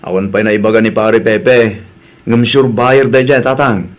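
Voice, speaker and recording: A man's voice: lowish voice, steady tone, measured pace, lightly acted. A man with a northern Philippine accent playing a trusting, generous adult son in an audio drama. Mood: friendly, eager.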